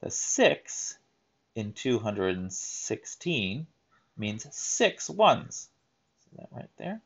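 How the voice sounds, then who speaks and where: A man reading a children's picture book aloud in a deliberate, emphatic teaching manner, adding explanatory asides. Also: on the low side, very animated, breathy edge, muffled recording.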